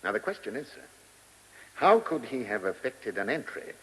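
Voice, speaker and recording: A commanding police chief inspector in a vintage radio drama. He is brisk and impatient, with a slightly rough, husky voice and lively swings of pitch. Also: low-quality recording. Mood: brisk, probing. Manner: brisk, questioning, authoritative. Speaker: actor in radio play. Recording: hissy old radio recording.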